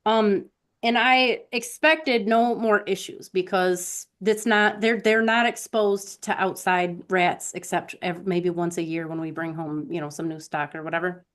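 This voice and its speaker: A woman's voice: fairly low pitch, fairly even intonation, unhurried. A woman chatting casually as a co-host, telling rambling anecdotes and acting out bits of quoted conversation. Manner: rambling storyteller who acts out quoted conversations. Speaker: podcast co-host.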